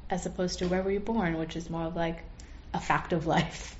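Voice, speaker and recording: A woman's voice: on the low side, fairly even intonation, moderate pace. A woman leading a casual conversation as interviewer, prompting with questions and frequently affirming what she hears. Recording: muffled with faint background hiss.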